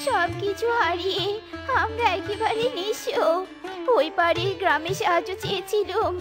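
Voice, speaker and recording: A woman's voice: very high voice, normal speed. A woman voicing a helpless old village woman animal in a cartoon, speaking in a plaintive, imploring, rustic way. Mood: weary pleading. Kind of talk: cartoon voice acting. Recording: music behind the voice.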